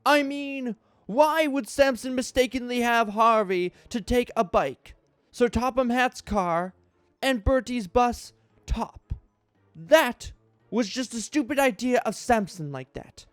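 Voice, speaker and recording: An aggrieved, earnest fan played by a man delivers an online cartoon review as mock high drama. The voice is high, halting and heavy on emphasis, recorded dry with faint music underneath. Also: smooth, dry recording. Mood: exasperated.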